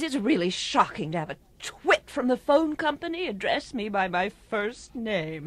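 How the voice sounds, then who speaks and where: An actress playing a snobbish, easily affronted society matron in a radio drama, with a haughty, complaining manner. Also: female voice, medium pitch, lightly acted.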